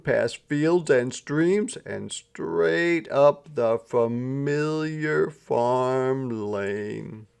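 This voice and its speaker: A man narrates a children's storybook at an unhurried pace. His medium-pitched voice has a gravelly creak, and he phrases each line deliberately and dramatically. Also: darkish tone. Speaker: storyteller reading a children's book aloud. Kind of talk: expressive scripted storybook narration.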